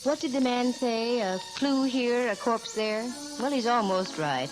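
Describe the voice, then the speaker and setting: A woman's voice: fairly high pitch, natural voice, rough recording. An actress playing a wisecracking, self-assured female private eye in a wry, teasing 1940s radio style. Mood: wry, narrating. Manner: wry, teasing, quick with quips. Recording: 1940s radio recording, organ music.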